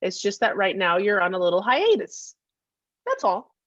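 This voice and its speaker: A woman's voice: mid-range voice, big pitch swings, moderate pace, a bit bright, dry recording, lo-fi sound. A woman co-hosting a casual chat podcast, speaking in an upbeat, encouraging and emphatic way. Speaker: podcast co-host.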